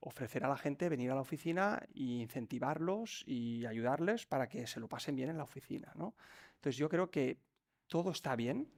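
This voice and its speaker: A man's voice: medium pitch, a little mellow. A man with a mid-range voice speaks on a panel, setting out his view step by step. He often ends a sentence with a short checking question. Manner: reasoned, tags sentences with questions. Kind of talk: panel discussion.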